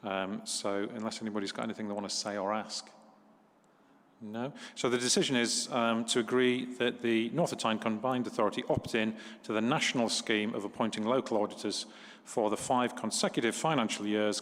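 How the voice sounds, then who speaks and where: Man, meeting chair, lowish voice, echoey council chamber. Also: a little fast. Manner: plain, relaxed, asides to viewers.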